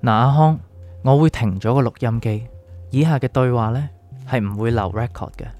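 An actor playing a calm, enigmatic doctor in a radio drama, speaking Hong Kong Cantonese in a measured, probing way. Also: male voice, mid-range voice, close to an everyday voice. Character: calm, cryptic male doctor with a secret identity. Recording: music underneath.

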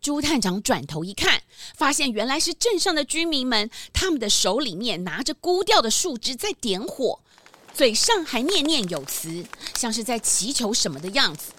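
A woman narrating a children's story in an animated, explanatory, scripted style. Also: on the high side, moderate pace, dry recording. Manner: explanatory, expressive.

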